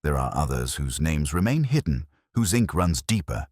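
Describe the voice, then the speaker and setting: A man's voice: very deep voice, sing-song, moderate pace, a little mellow, dry recording, studio quality, full character voice. A man voicing a grave, brilliant detective in an audiobook story, with clipped, solemn, theatrical pronouncements and a scornful edge. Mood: grim, ominous.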